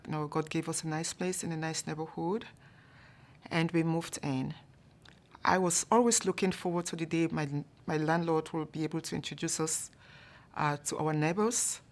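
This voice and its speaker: Low-voiced woman from Cameroon telling a story. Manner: calm, measured, many "you know" fillers. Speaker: staff member telling a personal story. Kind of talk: storytelling to an audience.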